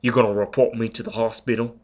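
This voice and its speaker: A man's voice: medium pitch, level tone, quick pace, a little mellow, natural voice. A man reading aloud, voicing a hulking, uneducated young man who talks bluntly in broken grammar and menaces a woman. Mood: menacing.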